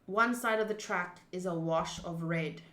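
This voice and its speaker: A woman narrates a visual novel for a live stream and chats between passages. Her voice is bright and clear-cut in tone, with an expressive, chatty delivery. The sound is noticeably echoey, as from a reflective room. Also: on the low side, measured pace. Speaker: game streamer. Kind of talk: live visual novel playthrough, reading text aloud and chatting.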